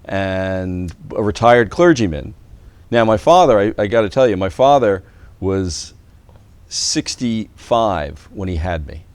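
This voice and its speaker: A middle-aged male priest speaks as a guest in a television studio. His voice is very deep and smooth, with a clear, resonant tone. He tells stories with easy chuckles and some self-deprecation. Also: lively intonation, a little slow, a little mellow, no echo. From born in Sweden, raised partly in the US.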